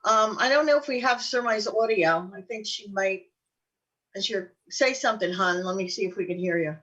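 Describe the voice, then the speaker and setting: A woman's voice: mid-range voice, normal speed, fairly bright tone, far from the mic, lo-fi sound. A woman chatting casually as a show host. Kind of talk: casual chat.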